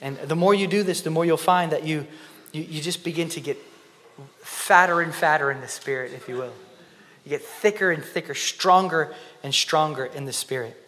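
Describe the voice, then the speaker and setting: Man in his thirties preaching from a church stage with a headset mic, bright voice, passionate, drops to whispers, hall reverb. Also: fairly high pitch, moderate pace. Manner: impassioned, shifts between loud emphasis and hushed whispered prayer. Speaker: conference speaker. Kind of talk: sermon. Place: church stage before an audience.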